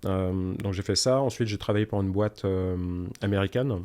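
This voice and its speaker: A man's voice: low-pitched, moderate pace, mellow. A man in his forties from Canada, chatting informally as an interview guest and explaining his points with examples. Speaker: startup cofounder guest. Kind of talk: casual conversational interview.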